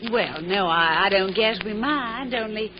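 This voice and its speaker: A woman's voice: medium pitch, unhurried, close to an everyday voice. An actress playing a wary, polite young woman in an old radio drama, guarded and hesitant. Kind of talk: 1950s radio drama acting. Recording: dull, noisy old radio recording.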